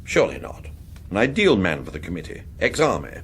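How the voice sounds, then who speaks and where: An actor playing an urbane, arch intelligence agent with an upper-class English accent and a droll, mannered delivery in a radio drama. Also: male voice, deep voice, huge pitch swings, a little fast, creaky, a little hiss or hum, full character voice. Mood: arch, amused. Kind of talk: British radio drama acting.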